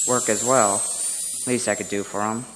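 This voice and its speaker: A man's voice: on the low side, steady tone, normal speed, a bit bright, close to an everyday voice. Man acting a smug mad doctor in an echoey hall. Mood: patronizing, genial. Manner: smooth, patronizing, casually menacing. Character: sinister, smugly genial male mad doctor. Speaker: stage actor in a live audio drama. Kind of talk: live audio drama acting. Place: indoor hall, live stage performance. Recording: echoey hall, noisy live stage recording.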